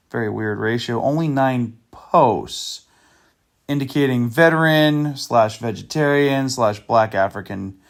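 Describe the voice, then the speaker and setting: A man hosting a video and talking casually through screenshots of a chat, with dry, sarcastic asides and a loose, meandering delivery. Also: mid-range voice. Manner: loose, meandering with fillers and restarts. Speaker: channel host exposing a scammer.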